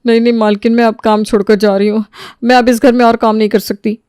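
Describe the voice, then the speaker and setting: A woman's voice: on the high side, flat delivery, quick pace, darkish tone, clean tone, no room sound, studio quality, natural voice. A woman playing a put-upon, dutiful housemaid who pleads and stands up for herself in a dramatised moral story. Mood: fed up.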